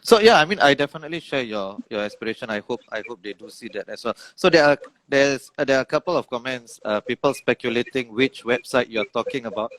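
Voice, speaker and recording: A man's voice: medium pitch, animated, fairly bright tone, no room sound, low-quality recording. A man with a Singaporean accent hosting a podcast interview, asking questions with lots of hesitations and restarts. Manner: hesitant, many fillers and restarts, quick to laugh. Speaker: podcast host, political science professor.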